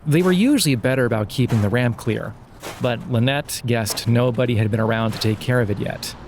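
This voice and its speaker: A man reads a scripted satirical story as a podcast narrator, measured and deliberate, with wry irony. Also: medium pitch, background noise. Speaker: narrator of an audio fiction podcast.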